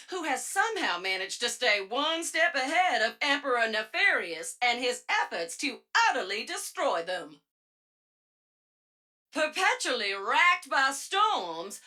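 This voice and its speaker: A woman's voice: sits high, bright, forceful tone, full character voice. A woman voicing a perky, cheerfully wry robot spy guide, narrating video game audio logs in a playful, theatrical way. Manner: theatrical, playful, exaggerated delivery.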